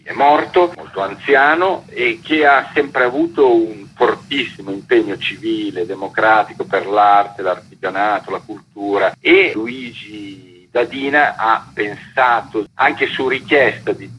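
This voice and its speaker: A man being interviewed on radio as a theatre organiser, explaining a programme and pausing to find his words. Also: on the low side, fairly bright tone, muffled recording.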